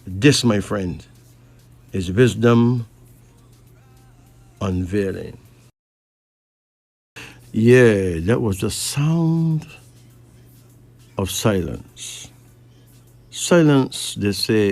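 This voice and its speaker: A male late-night radio host from Barbados speaks with a Caribbean accent over faint music. His delivery is slow and deliberate, with pauses between phrases, as he talks to listeners and callers. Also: on the low side, a little mellow.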